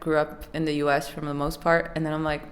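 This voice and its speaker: A woman hosting a podcast interview, relaxed and encouraging with lots of listening sounds. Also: low-pitched, fast talker. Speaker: podcast host. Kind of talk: casual interview.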